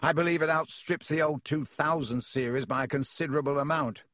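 A man's voice: fairly high pitch, fairly quick, fairly bright tone, no echo, natural voice. Nasty older schoolboy captain, voiced by a male narrator, boastful, careless taunts, dry storybook sound. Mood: gloating.

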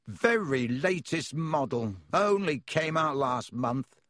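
A man's voice: medium pitch, sing-song, unhurried, a bit bright, dry recording, studio quality. A man voicing a gloating older schoolboy team captain in an audiobook, careless, boastful and taunting.

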